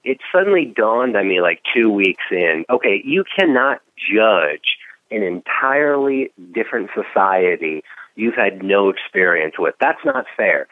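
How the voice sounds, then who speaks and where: A man's voice: lowish voice, a little slow, dry recording. A man from the Midwest, a comedian telling stories on a radio talk show, acting out the people he quotes. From Midwest US, outside Chicago. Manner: animated storytelling, acts out quotes. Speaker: comedian guest. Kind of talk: casual radio chat. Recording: tinny phone-like sound.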